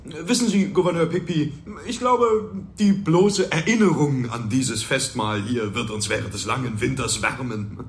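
A man's voice: mid-range voice, a little slow, lightly acted. An actor playing a genteel ship's captain in a radio drama, effusively polite and savouring his words. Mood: appreciative. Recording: roomy echo, faint background noise.

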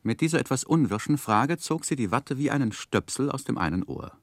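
An actor playing a grown man narrating his own life story in a radio drama, with measured, wry delivery. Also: mid-range voice, expressive, no echo, clean studio sound. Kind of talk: scripted literary narration in 1960s radio drama.

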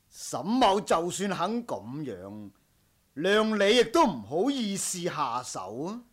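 Proud martial-arts master, high dramatic voice. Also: male voice, full character voice. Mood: defiant, composed. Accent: Cantonese. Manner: formal, stern, old-fashioned heroic speech. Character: proud, honourable martial-arts household master facing an old enemy. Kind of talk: Cantonese martial-arts radio drama acting.

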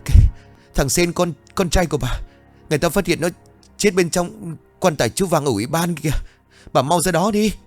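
A man voicing a panicked young village man who shouts urgent news while gasping for breath. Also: on the high side, a little fast, soft background music, pitched above the performer's own voice, natural voice. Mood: frantic shouting.

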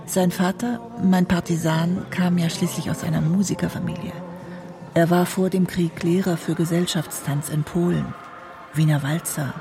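A woman's voice: fairly low pitch, lightly acted. An actress playing a singer's doting elderly mother in a radio drama, telling her memories with tender wistfulness.

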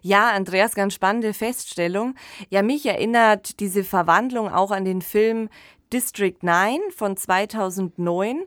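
A woman's voice: mid-range voice, measured pace, no room sound, clean studio sound. A woman, co-hosting a podcast and explaining things in a colloquial, conversational way. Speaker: podcast co-host. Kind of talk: conversational discussion of fiction and film.

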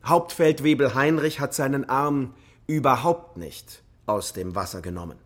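A male actor as a military psychologist on the witness stand in a radio drama. His mid-pitched voice stays sober and factual, explaining everything with clinical precision. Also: moderate pace, lightly acted. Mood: clinical, precise.

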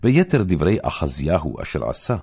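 A man with a low voice reads holy scripture aloud as an audiobook narrator. His delivery is solemn and measured, with wide swings of intonation that give key phrases declamatory weight. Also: sing-song, creaky, a little mellow, slightly rough. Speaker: audiobook Bible reader.

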